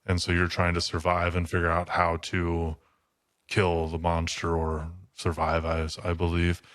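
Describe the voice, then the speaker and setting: Low, flat-toned man, blunt and casual. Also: measured pace, no room sound, clean studio sound. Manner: blunt, matter-of-fact. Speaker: podcast co-host. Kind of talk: casual chat.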